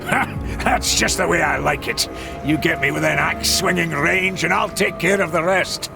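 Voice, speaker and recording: Rough gravelly brawler, loves a fight, bit distant, music behind. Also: male voice, fairly high pitch, moderate pace. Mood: cocky. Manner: gruff, eager, cocky. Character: brash, battle-hungry axe-wielding male warrior. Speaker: game hero.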